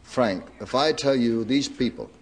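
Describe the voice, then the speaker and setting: A man hosting a television talk show who asks his guests halting, stumbling questions. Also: medium pitch. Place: television studio with live audience. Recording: 1960s broadcast with loud audience noise.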